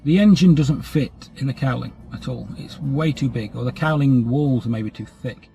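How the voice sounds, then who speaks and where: A man's voice: medium pitch, moderate pace, gravelly, warm tone, faint music behind. A British man with a cold, chatting casually about his scale model hobby in a rambling, self-deprecating way. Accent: British English. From England. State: has a cold, slightly stuffy. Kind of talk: casual update chat. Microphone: camera mic.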